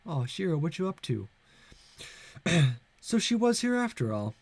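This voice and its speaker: A man's voice: fairly high pitch, big pitch swings, measured pace, creaky, no room sound. A man hosting a casual let's play, chatting with playful asides and reading visual novel text aloud. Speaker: YouTube let's play host. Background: game music.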